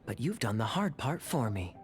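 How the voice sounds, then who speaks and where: A man's voice: mid-range voice, big pitch swings, normal speed, over music, lightly acted. Man playing a sly, lilting young knight captain. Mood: playful, genial. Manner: smooth-talking, sly, theatrical. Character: suave, teasing young male knight captain. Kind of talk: video game voice acting.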